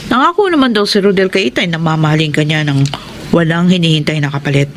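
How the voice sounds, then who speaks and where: A woman's voice: sits low, animated, fast talker, noisy background. A woman playing a frank, nagging older sister in a Filipino radio drama, blunt and teasing, with a Filipino accent. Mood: confiding.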